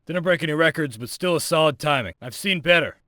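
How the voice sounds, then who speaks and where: A brusque military training instructor from a video game. His voice is fairly high for a man, with a forceful, sharp edge, and he is blunt and commanding in a very dry, close recording. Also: expressive, fast, no room sound. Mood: firm, instructive. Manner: commanding, blunt, clipped orders. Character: brusque, foul-mouthed male military firearms drill instructor. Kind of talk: scripted game voice lines, instructional and barked commands.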